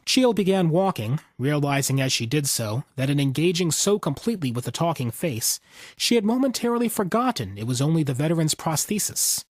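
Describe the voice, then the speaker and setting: A man's voice: on the high side, big pitch swings, fairly quick, no room sound, studio quality. A man reading scripted fiction as an audiobook narrator.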